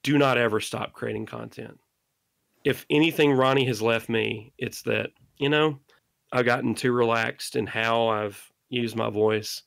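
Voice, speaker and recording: A middle-aged man talks as a podcast guest, his voice carrying a gravelly rasp. He speaks with earnest conviction, pressing his listeners to keep making things. The sound is dry and close, with no room around it. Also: medium pitch, steady tone, measured pace, fairly bright tone, no room sound. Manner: impassioned, exhorting listeners. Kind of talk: earnest interview monologue.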